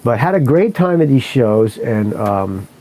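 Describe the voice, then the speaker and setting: A man's voice: mid-range voice, very animated, unhurried, mellow. A man hosting a podcast, telling a story in a casual, rambling way, correcting himself often and swearing freely. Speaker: podcast host.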